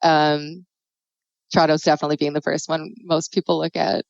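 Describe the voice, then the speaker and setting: A woman's voice: sits low, flat delivery, normal speed, gravelly, no echo. A woman co-hosting a video podcast, chatty and enthusiastic as she asks the guest questions. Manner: chatty and enthusiastic, laughing often. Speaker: podcast co-host. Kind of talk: casual interview conversation. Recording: dry, dull lo-fi mic.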